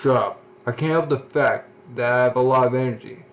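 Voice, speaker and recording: A man voicing a brash, quarrelsome male convict with a huge appetite, loud and threatening. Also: medium pitch, fairly quick, close to an everyday voice. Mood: angry. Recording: dull lo-fi recording.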